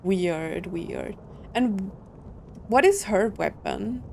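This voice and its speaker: A woman's voice: medium pitch, lively intonation, extremely slow, gravelly, a little mellow, a little hiss or hum. A woman giving casual reaction commentary as a game streamer, thinking aloud and asking questions as she goes.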